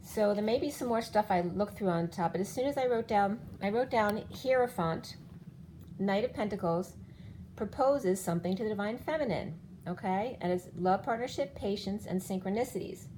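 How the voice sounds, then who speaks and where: A woman's voice: mid-range voice, moderate pace, mellow, a little hiss or hum, poor audio. A woman in her fifties giving a rambling spiritual tarot reading, walking through cards one by one while her voice keeps giving out. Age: 50s. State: losing her voice. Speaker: tarot reader.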